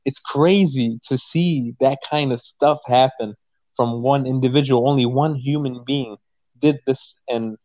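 Twenties man, mid pitch, profane and emphatic, dry slightly muffled sound. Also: a little slow, a little mellow, no echo. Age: 20s. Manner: profane, emphatic, repeats "you know what I'm saying". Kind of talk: casual conversation.

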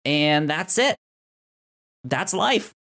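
A man co-hosting a casual TV recap discussion, halting and stammering over repeated sounds and words. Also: fairly high pitch, lively intonation, bright, forceful tone. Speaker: podcast co-host.

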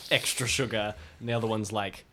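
Laid-back player. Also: male voice, fairly low pitch, steady tone, fairly quick, pushed and bright, slight background noise. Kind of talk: improvised audio drama play.

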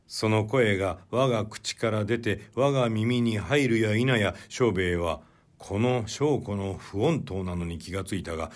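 A man reading a literary short story aloud as a narrator, in a measured storytelling delivery. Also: fairly low pitch.

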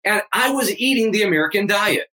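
A man's voice: high voice, lively intonation, fairly quick, sharp tone. A man in his fifties, a fitness coach, talking emphatically and energetically as a guest on a remote interview. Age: 50s. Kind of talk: energetic motivational interview talk.

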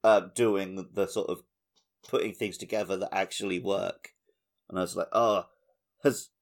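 A man's voice: sits low, steady tone, moderate pace. A man co-hosting a gaming podcast, chatting casually with a wry, conversational manner. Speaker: podcast co-host.

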